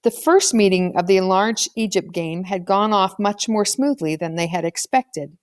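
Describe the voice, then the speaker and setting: A woman's voice: mid-range voice, normal speed. A woman reading a children's novel aloud as scripted audiobook narration. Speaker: audiobook reader.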